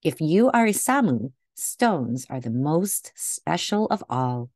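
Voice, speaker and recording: A woman reading a picture book aloud to children in a gentle, deliberate way, recorded indoors over a video call. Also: sits low, sing-song, dry recording, clean studio sound. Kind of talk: read-aloud storytelling for children.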